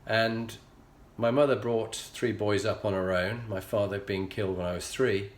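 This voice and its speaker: A middle-aged Yorkshireman speaks with a low voice, earnest and measured, pausing often as he tells a personal story. The recording has a noticeably echoey room sound around him. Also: fairly even intonation. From England. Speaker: leadership coach and show host.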